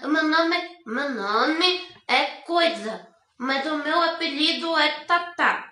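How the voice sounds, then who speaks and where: A young woman with a high, bright voice answers in short, childlike, halting phrases, often stumbling over and repeating words. The sound has a roomy echo from a home video. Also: lively intonation. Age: young adult. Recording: echoey room in phone video clip.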